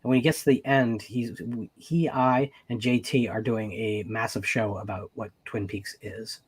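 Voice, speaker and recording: A man's voice: fairly low pitch, steady tone, moderate pace, slight background noise. A man hosting a casual live-stream chat, congested from a head cold and often restarting his sentences. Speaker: podcast host.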